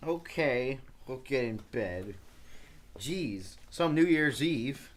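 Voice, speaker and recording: A woman's voice: very deep voice, lively intonation, slow, loud music behind the voice, heavily acted. A woman playing an eager, whiny teenage boy, the son in an old-time radio comedy, exclaiming and protesting. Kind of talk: 1940s radio comedy acting.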